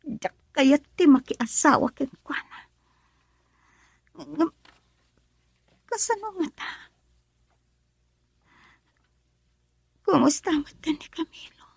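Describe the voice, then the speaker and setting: An actress with a Filipino accent playing a sweet, tender young rural daughter in a radio drama. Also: female voice, high-pitched, creaky, darkish tone, no echo, full character voice. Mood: troubled whispering.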